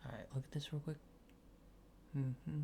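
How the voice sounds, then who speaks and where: A man doing a soft-spoken, often whispered ASMR roleplay as a casual, polite alien technician, rambling hesitantly in a low-key, reassuring way. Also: level tone, moderate pace, gravelly, background noise. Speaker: ASMR creator.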